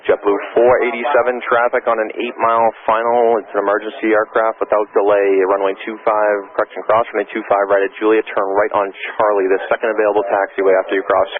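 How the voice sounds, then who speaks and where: Fast, flat-toned male air traffic controller. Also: fairly low pitch, a crowd in the background. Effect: aviation radio transmission. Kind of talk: clipped procedural radio instructions. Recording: narrow-band, staticky air traffic radio. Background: faint radio noise.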